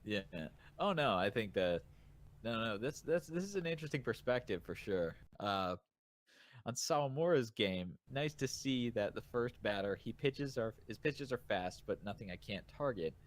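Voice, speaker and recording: A man co-hosting a casual podcast, reading listener comments aloud haltingly and rephrasing as he goes. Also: mid-range voice, expressive. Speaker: podcast co-host reviewing manga.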